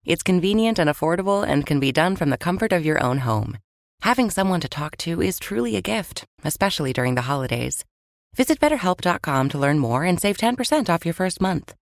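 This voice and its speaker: A woman's voice: lowish voice, big pitch swings, brisk, dry recording, studio quality. A woman announcer delivering a scripted podcast advertisement.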